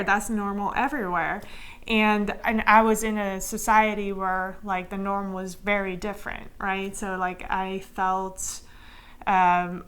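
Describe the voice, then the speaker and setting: A woman's voice: medium pitch, a bit bright, clean tone. Chatty young woman from Iran. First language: Armenian. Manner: chatty, with frequent "like" fillers and restarts. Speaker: interviewee, structural engineer. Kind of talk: casual interview storytelling.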